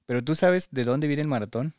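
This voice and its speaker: A man's voice: mid-range voice, fairly quick, darkish tone, clear, resonant tone, no room sound, clean studio sound. A man with a Mexican Spanish accent hosts a podcast, interviewing a guest in a conversational way.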